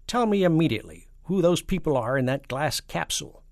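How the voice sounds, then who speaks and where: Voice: medium pitch, expressive, a little fast, a little mellow, no room sound, studio quality, pitched above the performer's own voice, lightly acted. A performer voicing a foolish, self-important president with an emphatic, declamatory manner in a storybook. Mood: commanding.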